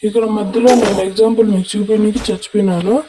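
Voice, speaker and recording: A woman's voice: medium pitch, little pitch movement, racing. A woman giving instructional, repetitive game tutorial commentary through a voice changer. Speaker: gaming video creator. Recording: clearly noisy home recording with clicks.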